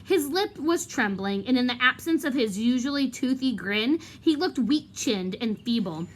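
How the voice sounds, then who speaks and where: A woman reading a children's novel aloud like a teacher, in an expressive, dramatic storytelling manner. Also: high-pitched, normal speed. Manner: animated, dramatic.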